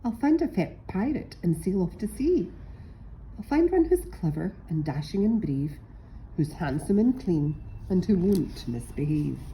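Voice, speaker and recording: A fed-up female storybook parrot, put on by a woman reader, exasperated and feisty. Her pitch swoops about in exclamations, with a soft, mellow edge to the tone. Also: big pitch swings, unhurried, slight background noise, rough recording. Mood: fed up, determined. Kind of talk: picture-book character voice.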